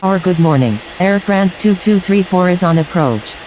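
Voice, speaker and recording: A woman's voice: fairly low pitch, lively intonation, normal speed, darkish tone, close to an everyday voice, low-quality recording. A woman playing an airline pilot making clipped, businesslike radio calls in an air traffic control game. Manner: clipped, formulaic, businesslike callouts.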